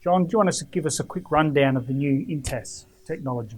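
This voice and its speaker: A middle-aged Australian man presenting scripted corporate narration in a formal, measured way. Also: fairly high pitch. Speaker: executive presenter.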